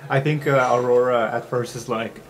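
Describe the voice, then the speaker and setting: A man's voice: medium pitch, fairly even intonation, moderate pace. A man acting as game master, conversationally describing scenes in an improvised tabletop roleplaying game.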